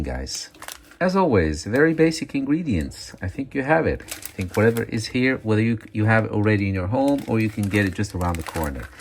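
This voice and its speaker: A deep-voiced man walks viewers through a recipe in a relaxed home-kitchen chat. His English comes with frequent ums and self-checks as he searches for words. A faint background noise sits under the voice. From Morocco. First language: Amazigh. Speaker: cooking video host. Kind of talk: casual cooking demonstration.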